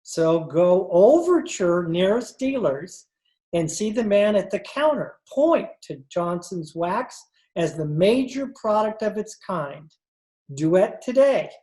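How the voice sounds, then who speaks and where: Woman as jovial wax salesman, medium pitch, punning sales patter, old-time radio comedy. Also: big pitch swings, takes it slowly, dark tone. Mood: salesy punning.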